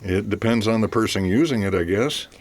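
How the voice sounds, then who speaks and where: A man's voice: fairly low pitch, fairly quick, no room sound, lightly acted. A man playing a cooperative, mildly puzzled radio station staffer in an audio drama, speaking in a plain, matter-of-fact way. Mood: helpful, puzzled. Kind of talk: audio theater mystery acting.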